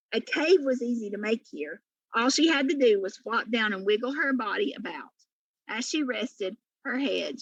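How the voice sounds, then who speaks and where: A woman's voice: mid-range voice, vocal fry, sharp tone, no room sound, dull audio. A woman reading a children's story aloud as a teacher would for pupils. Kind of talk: storybook read-aloud narration.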